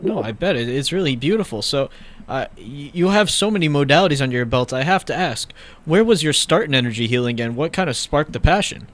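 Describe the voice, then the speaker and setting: Fast-talking enthusiastic male radio host. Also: a little high, animated, a bit bright. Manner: enthusiastic, admiring. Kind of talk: interview.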